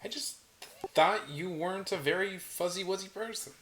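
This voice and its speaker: An actor reads a jokey young woman who teases her friend in an upbeat way. The voice sits at a middle pitch, with a faint hiss underneath the recording. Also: moderate pace, fairly bright tone, natural voice. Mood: playful. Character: Danny, a playful, teasing young woman friend. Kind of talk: table read.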